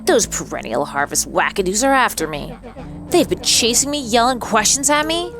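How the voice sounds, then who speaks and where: A woman's voice: fairly high pitch, sing-song, moderate pace, over music. A woman voicing a bitter, frightened mutated boy in a video game, accusing and sarcastic in manner. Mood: frightened, weary.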